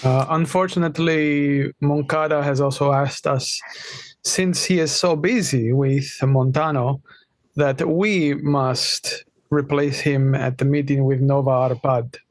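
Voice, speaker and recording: A man's voice: medium pitch, steady tone, a little slow, no room sound. A man in a tabletop roleplaying game, speaking formally and courteously in character. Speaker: player.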